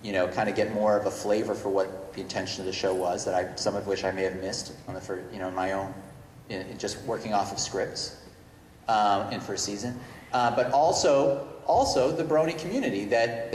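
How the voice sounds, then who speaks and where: A middle-aged man speaking off the cuff as a writer guest on a panel, halting and often correcting himself mid-thought. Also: on the low side, darkish tone. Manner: halting, rambling, self-correcting. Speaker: screenwriter guest at convention Q&A. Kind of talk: unscripted panel talk. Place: large indoor convention panel room. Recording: echoey reverberant hall, noticeable noise.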